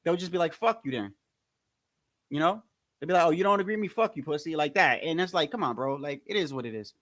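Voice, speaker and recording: A man with a New Jersey accent and a high-pitched voice, hosting a casual boxing livestream in a rambling, slangy way, repeating himself often. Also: animated, fast, a bit bright, no room sound, studio quality. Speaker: boxing commentary livestream host.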